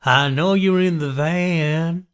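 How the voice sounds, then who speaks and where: A man voicing a deranged, sadistic hitchhiker killer in a horror story, taunting slowly and gloating. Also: fairly high pitch, fairly bright tone, smooth, pitched above the performer's own voice. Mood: menacing.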